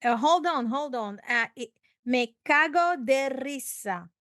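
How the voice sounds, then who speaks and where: High-voiced woman teaching at an unhurried pace. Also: dry recording, clean studio sound. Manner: expressive, prompts and repeats words. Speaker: English teacher and podcast host. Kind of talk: casual conversational language lesson.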